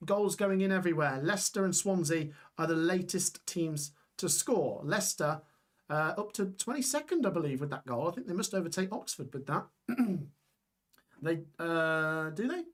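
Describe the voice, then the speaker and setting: A young man hosting a live football watch-along stream, reading out scores and chatting with viewers while his voice gets hoarse. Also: on the high side, animated, normal speed. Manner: chatty, reads scores aloud, reacts to chat. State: voice going hoarse.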